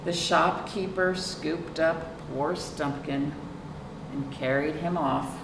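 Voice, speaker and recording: A woman's voice: sits low, slow. A woman reading a picture book aloud to young children, expressively, with chatty questions and asides to her listeners. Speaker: librarian hosting a children's storytime. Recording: roomy, distant microphone, clear background noise.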